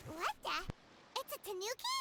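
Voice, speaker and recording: A woman voicing a tiny, feisty, childlike fairy girl companion in a video game, with a squeaky voice. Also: huge pitch swings, normal speed, very noisy, heavily acted. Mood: baffled.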